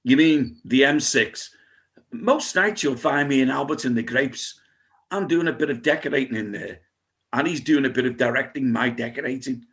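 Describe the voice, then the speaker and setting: A man's voice: medium pitch, normal speed, fairly bright tone, close to an everyday voice. A man playing a cheerful, daft studio lad who tells absurd things in a chatty, matter-of-fact way.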